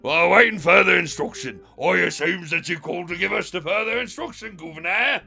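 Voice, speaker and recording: Man doing high, jolly mock-British robot henchman. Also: a little fast, vocal fry, sharp tone, soft background music, exaggerated voice. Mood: polite, indignant. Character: Scratch and Grounder, jolly posh robot henchmen.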